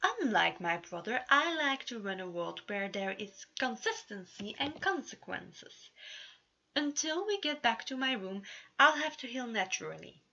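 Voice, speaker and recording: Storybook prince played by a woman: proud, theatrical, easily flustered, pitched high with a forceful edge. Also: very animated, measured pace, gravelly, muffled recording, exaggerated voice. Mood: haughty. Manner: dramatic, defiant.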